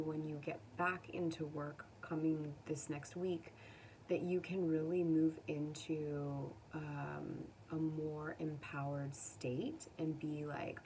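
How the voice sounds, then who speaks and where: A woman with a slightly low voice speaks as a tarot reader addressing her viewers. Her delivery is unhurried, gentle and consoling, with informal, chatty phrasing. She sounds somewhat distant from the microphone. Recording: distant mic, faint background noise.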